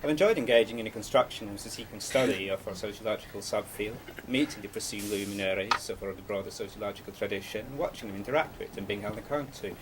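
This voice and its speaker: Man, quick, low voice, formal student intro, noisy recording. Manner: formal, wordy phrasing. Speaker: exchange student. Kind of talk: prepared self-introduction.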